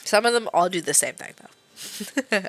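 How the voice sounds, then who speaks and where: A woman's voice: lowish voice, lively intonation, normal speed. A woman livestreaming a game with casual, slangy, sardonic commentary and some swearing. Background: game music.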